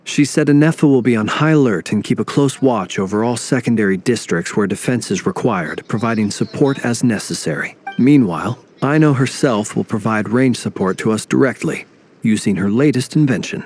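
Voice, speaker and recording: An actor playing an ancient, dignified fae warrior in a video game, thoughtful and courteous, with formal phrasing. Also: male voice, medium pitch, lively intonation, moderate pace, a little mellow, a little hiss or hum, lightly acted. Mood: businesslike. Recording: music underneath.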